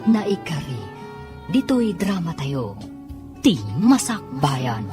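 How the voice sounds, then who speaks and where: A woman with a low voice narrates a radio drama. Her scripted delivery is solemn and theatrical, with wide swings in pitch. Music plays behind her. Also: big pitch swings, slow.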